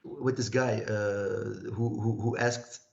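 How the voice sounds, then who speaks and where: A man in his thirties from Belgium, telling his life story as a livestream guest in a halting way, searching for words. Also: fairly low pitch, fairly even intonation, gravelly. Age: 30s. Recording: muffled lo-fi livestream audio.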